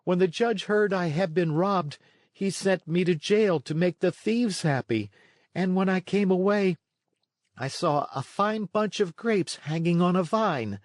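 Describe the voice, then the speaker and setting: A man's voice: sits high, unhurried, no room sound, studio quality. A man voicing an eager, earnest and plucky wooden puppet boy in a storybook read-along. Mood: breathless, rueful.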